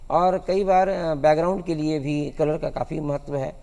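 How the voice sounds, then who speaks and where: A man speaks as an interview guest. His voice sits fairly high and is mellow, with a strong creak. He explains things in a halting, piecemeal way. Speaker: guest cartoonist. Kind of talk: studio interview.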